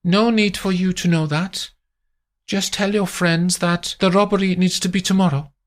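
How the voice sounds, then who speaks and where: A woman's voice: fairly low pitch, steady tone, normal speed, voice pushed up, lightly acted. A man doing a haughty rich woman's voice. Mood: commanding. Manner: clipped, haughty, gives orders. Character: imperious wealthy woman, cool and commanding.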